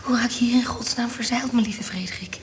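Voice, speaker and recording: A woman's voice: on the high side, a little fast, natural voice. A woman in a radio drama playing a bold, haughty baroness with a cool, formal, commanding manner. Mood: hushed, urgent. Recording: noisy radio play recording.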